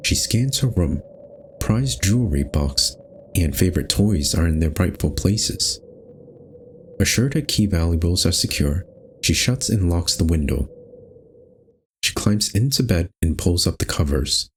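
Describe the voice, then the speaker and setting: A man's voice: deep voice, animated, measured pace, slight background noise. A man reading scripted, storybook-style narration for a horror game.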